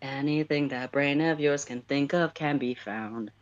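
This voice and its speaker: Female livestream co-host with a strikingly low voice, casual and playful. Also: unhurried, muffled recording.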